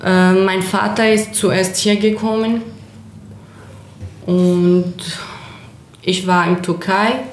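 A young woman from Syria, hosting a community radio interview in slow, careful speech with small grammar slips. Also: medium pitch, fairly even intonation, a little hiss or hum. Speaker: radio show host. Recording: roomy echo.

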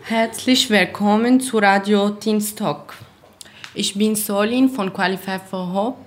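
A young woman from Syria, hosting a community radio interview in slow, careful speech with small grammar slips. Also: mid-range voice, slight background noise. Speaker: radio show host. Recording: roomy echo.

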